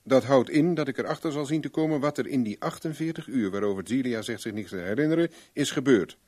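An actor playing a shrewd, self-assured private investigator in a Dutch radio play, probing in a blunt, wry, plain-spoken way. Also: male voice, medium pitch, fast, a little mellow, lightly acted. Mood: firm, matter-of-fact.

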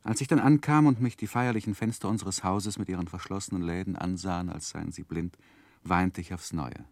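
An actor playing a grown man who soberly recalls his childhood, narrating a 1960s radio play in a measured, reflective way. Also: low voice, creaky, a little mellow, no room sound. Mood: wistful, reflective.

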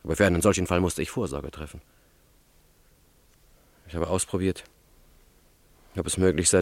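A deep, closely recorded voice of a young revolutionary played by a man in a radio drama. He is intense and brooding, speaking deliberately and quick to defend himself. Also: dry recording, close to an everyday voice. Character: brooding young male student revolutionary plotting an assassination. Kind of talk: dramatic radio play dialogue.

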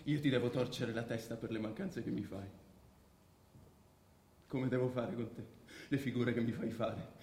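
A man's voice: mid-range voice, steady tone, moderate pace, gravelly, pauses often, darkish tone, a little hiss or hum, lightly acted. A man acting in an Italian radio drama as a domineering, anguished grown son seizing his father's power, insistent and prone to repeating himself. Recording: roomy, echoing radio drama recording.

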